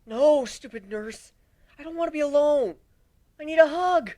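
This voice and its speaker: A man's voice: extremely high pitch, normal speed, warm tone, voice pushed up. A man voicing a wounded, vengeful but fragile male griffin warrior in a theatrical dramatic story reading. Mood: whiny, desperate. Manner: intense, theatrical, swinging into brooding.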